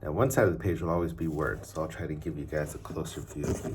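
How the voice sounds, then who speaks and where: A man reads a storybook aloud to children, with measured, deliberate phrasing that often trails off softly at the ends of lines. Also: a bass voice, steady tone, background noise.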